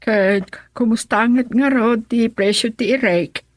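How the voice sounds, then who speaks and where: Radio drama casting: older Ilocano village mother, inquisitive, husky mid-pitched voice, dry studio sound. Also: a little slow, no echo. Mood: probing, concerned.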